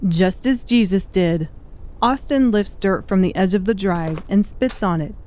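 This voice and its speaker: A woman's voice: mid-range voice, a little slow, darkish tone. Woman narrating a dramatic audiobook.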